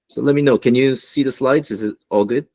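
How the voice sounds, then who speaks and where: A man from Los Angeles giving an online business presentation as a guest mentor, with a relaxed delivery and many fillers. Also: mid-range voice, brisk. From United States, Los Angeles.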